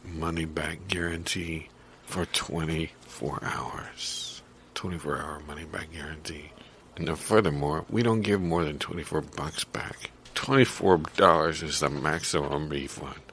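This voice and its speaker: A stubborn, irritable shop clerk played by a man, very low and full of gravelly fry. He is grudging and repeats himself, in an improvised comedy sketch with noticeable background ambience. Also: fairly even intonation, measured pace, a bit bright, heavily acted. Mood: officious. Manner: grudging, repetitive. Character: stubborn, irritable male returns clerk, Mister Quisling. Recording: clear background ambience noise.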